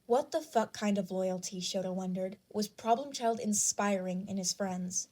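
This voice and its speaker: A woman's voice: mid-range voice, moderate pace, warm tone. A woman reading scripted fan fiction as the narrator.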